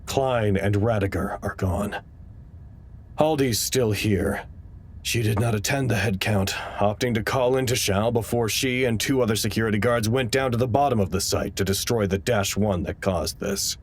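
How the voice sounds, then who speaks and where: A fairly low male voice plays an earnest, uneasy staffer at a research site. He speaks in a naturalistic audio drama style, measured and a little hesitant, as if keeping a careful log. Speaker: actor in an audio drama podcast.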